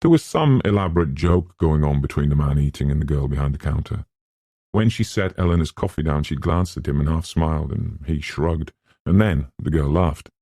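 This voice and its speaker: A man's voice: very deep voice, normal speed, warm tone, no room sound, studio quality. A man reading a novel aloud as scripted audiobook narration.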